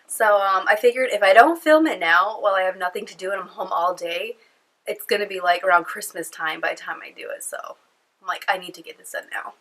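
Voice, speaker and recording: A young woman talks to the camera at home, her voice nasal and stuffed up from a cold. Her tone is bright and forward. She rambles casually with plenty of fillers. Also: mid-range voice, brisk, poor audio. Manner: chatty, rambling, lots of fillers. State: congested from a cold. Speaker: beauty vlogger. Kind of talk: casual chatty vlog. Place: at home indoors.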